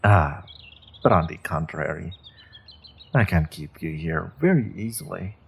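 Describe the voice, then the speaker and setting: A sinister sorcerer captor played by a man speaks very slowly, in a deep voice full of gravel. He is courteous and measured, letting polite phrases carry the threat, as in a fantasy audio roleplay. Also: lively intonation, exaggerated voice. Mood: calm threat. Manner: measured, polite, veiled threats, deliberate pauses.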